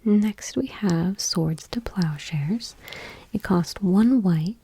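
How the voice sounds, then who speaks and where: Woman doing asmr, super breathy whispery soft reading, mellow and kinda low. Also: no echo. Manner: hushed, gentle, unhurried delivery. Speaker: ASMR video host.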